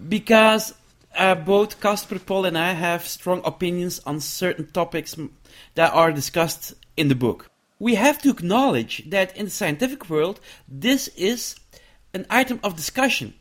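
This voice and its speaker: A man with a Dutch accent, a researcher and author answering interview questions in a thoughtful, halting way with frequent restarts. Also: high voice, animated, fairly bright tone. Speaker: education researcher and book author.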